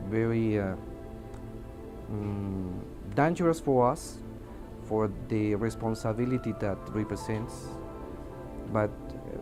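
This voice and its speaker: A Mexican man with a fairly low voice gives interview testimony in a slow, halting way. His native Spanish shapes his grammar, and he often stops to search for words. Music plays clearly behind him. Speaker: air traffic controller giving testimony. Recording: music under the voice.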